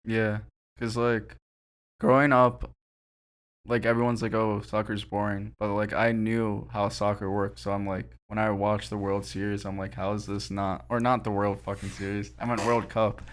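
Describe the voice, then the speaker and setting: A young man hosts a podcast in a low, smooth, resonant voice. He talks in an easygoing, rambling way, circling back over his thoughts as the conversation drifts. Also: level tone. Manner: rambling, easygoing, quick to laugh along. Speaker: podcast host. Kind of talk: casual chat.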